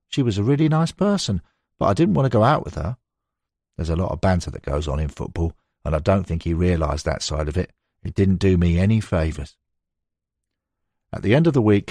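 A man's voice: low voice, a little mellow, no room sound, studio quality. A man reading scripted narration of a football biography as an audiobook narrator.

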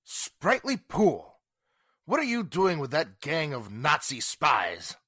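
An actor playing a tough, belligerent mob leader, gruff and challenging, in a 1940s radio drama. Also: male voice, a little high, huge pitch swings, takes it slowly, pushed and bright, no echo, clean studio sound, heavily acted. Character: tough, belligerent big lug leading a mob.

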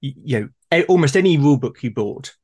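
A man with a medium-pitched voice talks as the host of a relaxed discussion. He muses as he goes, halting and repeating the starts of his sentences while he works through a thought. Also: breathy edge. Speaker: host and game master in a conversation. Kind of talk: casual reflective discussion.

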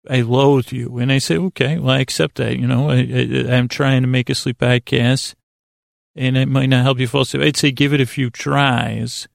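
Guy rambling on a sleep podcast, super creaky gravelly voice, kinda halting and self-deprecating, close dry sound. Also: medium pitch, no echo. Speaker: host of a bedtime sleep podcast. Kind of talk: rambling, informal bedtime monologue.

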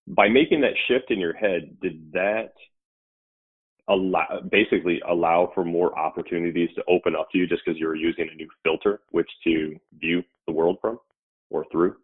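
A man's voice: deep voice, fairly even intonation, moderate pace, breathy edge. A male interviewer who reassures his guest and sums up the guest's answers back to him before asking his next question. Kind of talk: conversational interview. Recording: tinny phone-like line.

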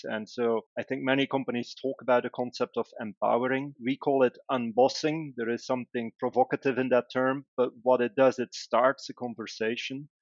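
Flat-toned man from Belgium. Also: mid-range voice, no room sound, muffled recording. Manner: thoughtful, explanatory, with filler "uh"s. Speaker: guest, corporate executive. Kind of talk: conversational interview answers.

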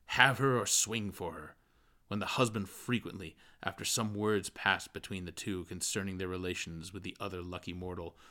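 A man reading a literary novel aloud as an audiobook, in expressive narration. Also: sits low, normal speed. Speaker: audiobook reader.

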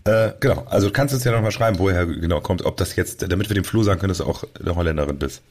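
Male podcast host, rapid and lowish, rambling casual talk with frequent broken-off sentences. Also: a little mellow. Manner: talkative, rambling, breaks off sentences. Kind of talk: casual chat.

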